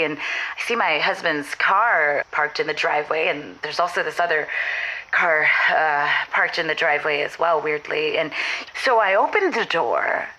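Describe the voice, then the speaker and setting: A woman playing a frazzled, defiant wronged wife calling a radio show in a comedy audio drama, rambling breathlessly and excitably. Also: low voice, poor audio. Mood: flustered, aggrieved.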